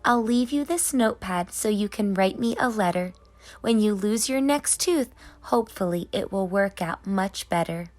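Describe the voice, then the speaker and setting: A woman reading as a busy, whimsical, gently scolding tooth fairy in a children's storybook, in rhyme. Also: mid-range voice, lively intonation, moderate pace, lightly acted. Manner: sing-song rhyming delivery. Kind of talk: children's storybook narration in character.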